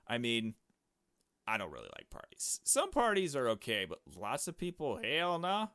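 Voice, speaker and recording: A man's voice: fairly low pitch, a bit bright, no room sound, studio quality. A man reading a visual novel game aloud in a casual let's play style, dropping in his own asides. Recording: music playing behind the voice. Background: game music with strings.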